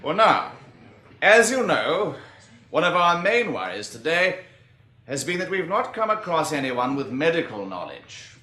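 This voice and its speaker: An actor playing an earnest, resolute committee chairman making a formal, measured address to survivors in a radio drama. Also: fairly high pitch, sing-song, pushed and bright, heavily acted. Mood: formal, courteous. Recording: roomy, echoing meeting hall.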